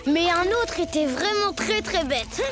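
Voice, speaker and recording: Plucky high-voiced cartoon boy. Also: lively intonation, unhurried, heavy background noise. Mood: crafty. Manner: questioning, childlike. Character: plucky, inquisitive young boy. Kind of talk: French cartoon dub acting. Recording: loud music behind the voice.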